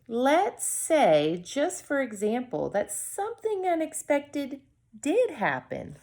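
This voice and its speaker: Slow-paced woman reading a children's story. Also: fairly high pitch. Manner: expressive, deliberate dramatic pauses between short phrases. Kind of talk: storybook read-aloud with brief asides to viewers.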